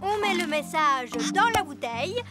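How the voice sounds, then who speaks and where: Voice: very high-pitched, expressive, normal speed, over loud music. An actor voicing a cheerful, eager little elf boy in a French cartoon dub, enthusiastic in manner. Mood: helpful.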